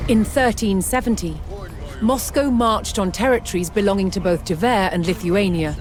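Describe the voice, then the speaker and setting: A woman delivering scripted historical narration for a strategy game, in a measured storytelling manner. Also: medium pitch, noisy background. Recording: music behind the voice. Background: background music.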